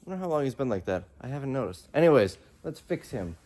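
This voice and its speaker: A man's voice: mid-range voice, big pitch swings, quick pace, warm tone. A man in his thirties chatting casually as a reseller vlogger, rambling and thinking aloud into a phone held at arm's length indoors. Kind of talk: casual vlog chat. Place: large warehouse and storage room, indoors.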